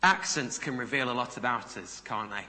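Male preacher, very bright, crisp-edged tone, conversational sermon delivery, long hall reverb. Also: medium pitch, normal speed. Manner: conversational, rhetorical questions to listeners. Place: large reverberant room before a congregation.

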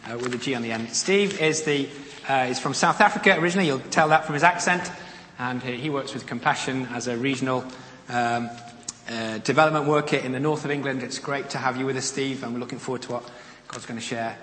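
Informal church leader, bright tone, roomy church sound with faint hum. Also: male voice, mid-range voice, brisk. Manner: apologetic, informal. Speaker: church leader introducing the speaker. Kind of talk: church welcome. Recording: echoey hall, faint ambience.

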